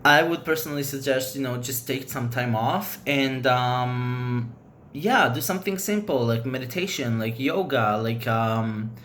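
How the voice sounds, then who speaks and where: A man's voice: mid-range voice, a little slow, a bit bright. Native Hebrew-speaking man vlogger, mid-range voice, loose frank chat, light background noise. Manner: rambling, loose, frank opinions. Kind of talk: casual chat to camera.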